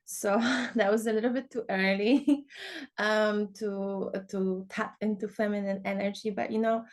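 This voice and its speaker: A woman's voice: mid-range voice, fairly even intonation, a little slow. Chatty young woman. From Poland. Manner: chatty, many fillers like "you know". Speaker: guest, dance teacher. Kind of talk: casual podcast interview.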